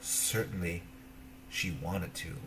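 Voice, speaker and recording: A man narrating a children's fairy tale as an expressive storyteller, in simple phrases. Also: deep voice, takes it slowly, background noise.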